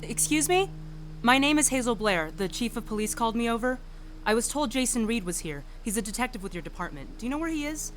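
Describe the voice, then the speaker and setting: A woman's voice: fairly high pitch, animated, fast talker, slight background noise, lightly acted. A woman playing a polite, independent-minded private detective in an audio drama, speaking conversationally. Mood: polite, inquiring. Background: music.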